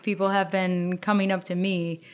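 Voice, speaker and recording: A woman's voice: lowish voice, level tone, moderate pace. A woman co-hosting a casual podcast, upbeat and giggly with brief reactions. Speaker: podcast co-host.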